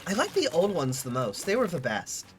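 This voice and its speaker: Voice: mid-range voice, wildly swooping pitch, normal speed, soft background music. A streamer with a stuffy, sniffly nose, chatting casually and rambling while playing a game. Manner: chatty, rambling, trailing off mid-sentence. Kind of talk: casual gaming chat.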